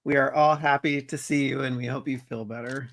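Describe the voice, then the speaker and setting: A man's voice: mid-range voice, fairly even intonation, brisk, gravelly, a bit bright. A man co-hosting a live toy stream, giving short, supportive replies in casual chat. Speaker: co-host.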